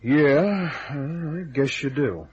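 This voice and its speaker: An actor playing a tough, cynical private detective in an old-time radio drama, talking in a wry, casual, laconic way with a rough, husky voice. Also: male voice, on the high side, lively intonation, extremely slow, darkish tone, muffled recording, full character voice. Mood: reassuring, casual. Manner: wry, casual, hard-boiled.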